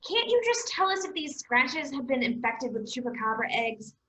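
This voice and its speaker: A high, animated young heroine played by a woman in a comic stage play, with faint noise behind her. She is spirited and exasperated, protective of her friends, and takes her time over words. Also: moderate pace, roomy sound.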